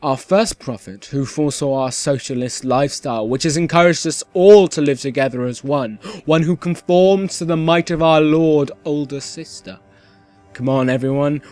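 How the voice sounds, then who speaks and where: A man's voice: a little high, natural voice. A man playing a cheerful yet sinister dystopian radio host, delivering scripted propaganda in a theatrical radio-play style. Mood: solemn, reverent. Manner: theatrical, dramatic, swinging from grand proclamation to hushed menace. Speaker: radio announcer.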